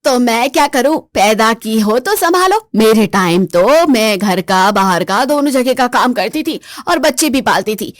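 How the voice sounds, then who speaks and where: A woman's voice: a little high, expressive, measured pace, few pauses, no room sound, studio quality. A woman doing a blunt, bossy old mother-in-law. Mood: scolding. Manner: blunt, scolding.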